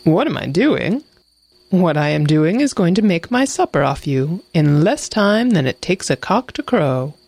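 A woman's voice: fairly low pitch, very animated, measured pace, a little mellow, no room sound, heavily acted. A woman voicing a greedy, hungry male wolf who talks bluntly and impatiently.

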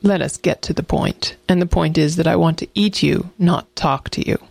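A woman's voice: fairly low pitch, moderate pace, creaky, darkish tone, no room sound. A woman voicing a greedy, hungry male wolf who talks bluntly and impatiently.

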